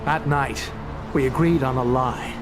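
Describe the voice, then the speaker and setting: A man playing an earnest, weary veteran police chief in a game cutscene, solemn and deliberate. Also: mid-range voice, over music, natural voice. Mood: solemn confession. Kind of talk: video game cutscene acting.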